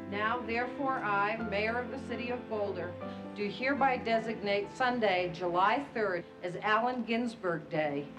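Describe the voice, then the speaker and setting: A woman's voice: mid-range voice, poor audio. A woman with an American accent, speaking as a mayor and formally reading out an official proclamation. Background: music.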